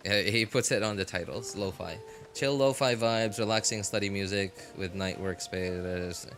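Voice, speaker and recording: A man with a fairly low but bright, forward voice chats casually on a gaming stream. He thinks aloud in a rambling, halting way, with music playing behind him. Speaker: live streamer.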